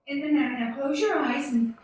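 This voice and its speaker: Radio play wife: actress as fervent, devoted young woman, high voice, very echoey hall recording. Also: moderate pace, a little mellow, lightly acted. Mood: eager. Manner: fervent, exclamatory. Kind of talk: live radio play acting. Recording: echoey hall, distant mic.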